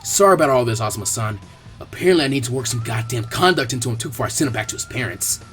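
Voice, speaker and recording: A man voicing a stern, angry male ninja commander, gruff and coarse, in a story reading. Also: medium pitch, animated, rapid-fire, fairly bright tone, soft background music, voice pushed down, lightly acted. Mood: disgusted.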